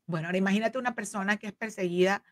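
Woman legal counsel, earnest, halting fillers, dry close sound, desk mic on video call. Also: mid-range voice, fairly bright tone, no echo. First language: Spanish. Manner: earnest, many fillers and restarts. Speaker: immigration legal counsel, guest. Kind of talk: explanatory interview talk. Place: home office, indoors. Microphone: desk microphone on video call.

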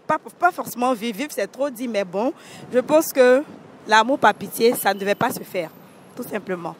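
A woman's voice: fairly high pitch, animated, moderate pace, a little hiss or hum. A young woman answering a street interviewer at night, explaining her view earnestly with a few restarts, into a handheld mic. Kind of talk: vox pop answer. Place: city street at night beside traffic. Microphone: handheld mic held by interviewer.

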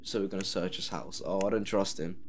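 A man talks over a horror game he is playing, mocking its story and characters as he goes. His voice sits a little low. Faint keyboard typing comes through behind him. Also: fairly even intonation, fairly quick, slight background noise. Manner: sarcastic, mocking the game as he plays. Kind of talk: let's play commentary.

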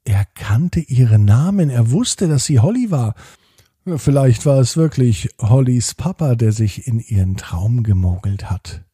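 Male narrator, for children's bedtime tales; dark, relaxed, husky tone, gentle storytelling delivery. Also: mid-range voice, unhurried, dry recording, studio quality.

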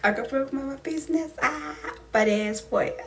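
A woman's voice: high voice, unhurried, vocal fry, bright, forceful tone. A twenty-year-old woman with a South African accent, chatting emphatically to camera in a casual Q&A vlog from a small room. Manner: chatty, emphatic, slangy asides. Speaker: YouTuber.

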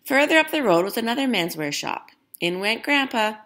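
A woman reading a children's picture book aloud in an expressive storytelling style. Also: a little high, moderate pace, a little hiss or hum.

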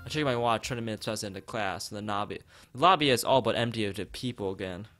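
A man's voice: on the low side, quick pace, pushed and bright. A man streaming a visual novel, reading its text aloud with casual asides, often stumbling and repeating phrases. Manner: stumbles over read lines and repeats phrases. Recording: music behind the voice. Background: background music.

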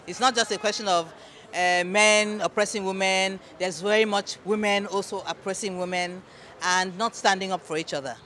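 A middle-aged woman answering an interview in a measured, emphatic way. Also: mid-range voice, steady tone, fairly bright tone. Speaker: interviewed theatre guest. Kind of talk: on-camera vox-pop interview. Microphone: handheld reporter microphone. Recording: faint event background noise.

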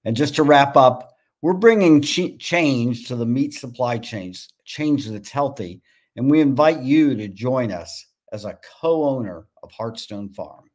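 Older man pitching a farm business. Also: lively intonation, measured pace, a little mellow. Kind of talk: business pitch and question answering. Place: home room with bookshelves. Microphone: video call.

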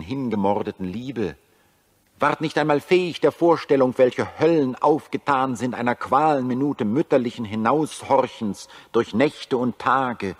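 An actor in a 1940s German radio drama playing an anguished, bitter satirist who accuses humanity, speaking in solemn, rhetorical declamation. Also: male voice, medium pitch. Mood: bitter indignation.

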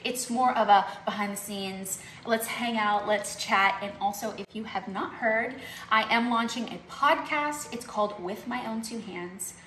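A woman's voice: medium pitch, measured pace, sharp tone, roomy sound, a little hiss or hum, rough recording. A woman in her thirties hosting a casual home tour vlog, gushing and chatty with lots of fillers. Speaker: vlog host. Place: outdoor garden, then indoor home.

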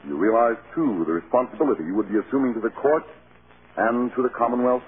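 An actor playing a grave, reproving court judge with a clipped, formal delivery in 1930s radio style. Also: male voice, mid-range voice, fast, close to an everyday voice. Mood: stern. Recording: scratchy, tinny 1930s radio broadcast.

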